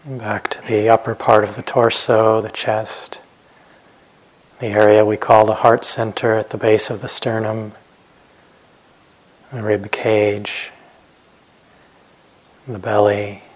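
Male meditation guide, lowish voice, very flat intonation, gentle instructional delivery with spacious pauses. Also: rough recording. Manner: gentle, unhurried, with long pauses between short phrases. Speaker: meditation teacher.

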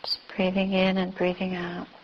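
A woman teacher leading a beginner's guided meditation, giving calm, gentle instructions with long pauses. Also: fairly low pitch, muffled recording, a little hiss or hum. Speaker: Buddhist nun teaching meditation.

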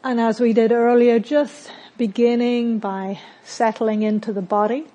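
A woman leads a guided meditation at a very slow pace. Her voice sits a little on the high side. She speaks calmly and gently, in short phrases with long, unhurried pauses. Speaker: meditation teacher. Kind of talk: guided meditation, body scan.

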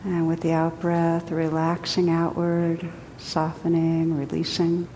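A woman leads a guided meditation in a soft-edged, mellow voice that stays very level. She speaks gently and takes her time, leaving space between short phrases. The sound is reverberant, like a large hall, with a faint rumbling noise behind her. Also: monotone, takes it slowly. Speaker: meditation teacher.

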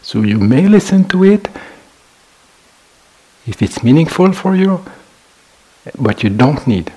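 A male meditation teacher gives a guided talk in a husky voice at a middling pitch. He speaks very slowly, in short, measured phrases, leaving space between them. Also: big pitch swings, mellow, poor audio.

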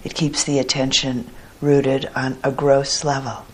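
A woman teaching a guided meditation in a gentle, measured manner with pauses between short phrases and a low, husky voice. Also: level tone, poor audio.

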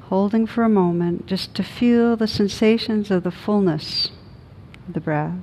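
A woman meditation teacher leading a guided meditation in a gentle, soothing manner with long pauses. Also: medium pitch, slight background noise, rough recording.

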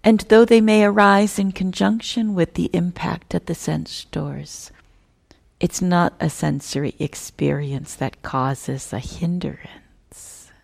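A woman teaching a guided meditation in a measured, gentle manner, with long pauses between phrases. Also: lowish voice, fairly even intonation, creaky.

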